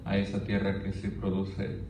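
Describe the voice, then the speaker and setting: A man giving an earnest church testimony, often halting and restarting as he speaks. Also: sits low, monotone, noisy background. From Honduras. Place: church hall. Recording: distant, roomy, dull church recording.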